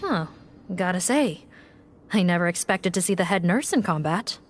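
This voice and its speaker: A woman's voice: on the low side, expressive, normal speed, background noise, lightly acted. A woman voicing a stern, commanding guard captain in a video game, speaking with authority. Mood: wry, steady. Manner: authoritative, forceful orders. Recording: game music behind the voice.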